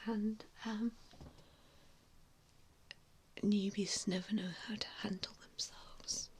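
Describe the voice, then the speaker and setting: Slow whispering woman, soothing ASMR roleplay. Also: medium pitch. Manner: soothing, hesitant, softly spoken. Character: gentle, reassuring centuries-old female vampire mentor.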